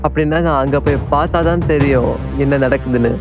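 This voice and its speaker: Questioning lion king, medium pitch man acting. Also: fairly even intonation, brisk, music in the background, natural voice. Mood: puzzled. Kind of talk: cartoon fable acting.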